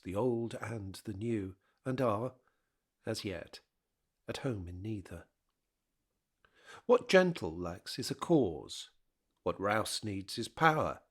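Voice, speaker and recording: A man narrating a scripted period drama in a measured, rhetorical manner. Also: lowish voice, husky.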